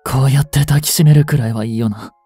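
Guy voicing a heartbroken young cop, audio drama, husky low murmur, close dry mic, music behind. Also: no echo, close to an everyday voice. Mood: anguished tenderness. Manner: hushed, slow, bitter, intimate murmur. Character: grieving young policeman mourning his criminal girlfriend, tender. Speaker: voice actor. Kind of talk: Japanese audio drama monologue.

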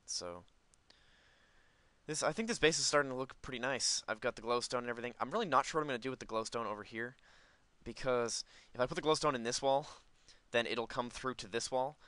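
Clear, bright-voiced man rambling over a game. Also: medium pitch, dry recording. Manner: rambling, thinking aloud. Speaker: gaming video host. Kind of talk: casual Minecraft let's play commentary.

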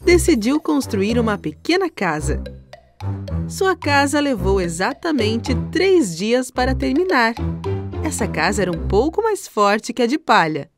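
Lively woman narrating a children's story. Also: fairly high pitch, measured pace, music in the background.

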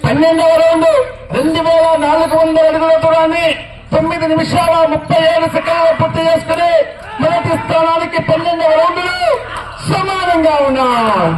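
A man commentating on a rural race as live announcer, calling out excitedly with drawn-out whoops and repeated calls. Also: very high voice. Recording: noisy echoing loudspeaker outdoors, loud crowd. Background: loud crowd and noise.

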